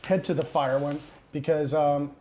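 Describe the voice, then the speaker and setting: A man's voice: a little high, steady tone, moderate pace, warm tone. A man playing a tabletop game, talking casually with the others at the table.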